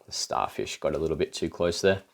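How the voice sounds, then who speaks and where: A man reading a children's rhyming picture book aloud in an expressive, playful way, with asides to young listeners. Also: rumbling low, fairly quick, gravelly. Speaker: storybook reader.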